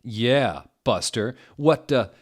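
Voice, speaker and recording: A man's voice: mid-range voice, expressive, slow pace, voice pushed up. Man as an indignant goth wizard boy, slightly raised. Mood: indignant, threatening. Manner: indignant, confrontational. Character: angry, protective teenage boy goth wizard.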